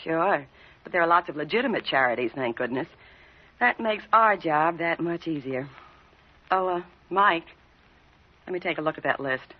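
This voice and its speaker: A woman's voice: low-pitched, lively intonation, normal speed, pauses often. A brassy American woman swindler in a 1940s radio crime drama. She is flippant, confident and money-minded, with a slangy delivery. The sound is dull and muffled, like an old broadcast. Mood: casual, money-minded.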